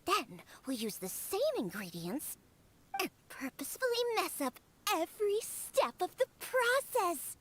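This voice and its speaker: A woman's voice: extremely high pitch, huge pitch swings, measured pace, a bit bright, exaggerated voice. A woman voicing a cute, proud, stubborn young cat-girl bartender in an anime game, speaking in an emphatic, huffy way. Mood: scheming.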